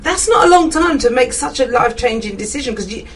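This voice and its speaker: A woman's voice: high-pitched, animated, quick pace, recorded from a distance, slight background noise. A woman hosting a radio interview show, upbeat and laughing often.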